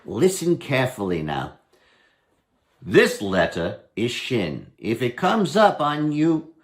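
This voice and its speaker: A man's voice: mid-range voice, voice pushed up. A man voicing a wily, playful folk hero who coaxes with mock innocence in a storybook reading.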